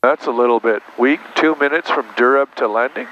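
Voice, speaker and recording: A man with a medium-pitched voice talks as an aircraft captain in a small cockpit. He explains things casually and plainly. The sound is dull and muffled, with a faint engine drone behind him. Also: brisk, a bit bright, slight background noise. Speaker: airline captain.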